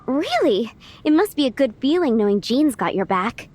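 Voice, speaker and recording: A woman's voice: sits high, sing-song, normal speed, darkish tone, slight background noise. A woman voicing a polite, earnest tavern keeper in a video game. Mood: polite, relieved.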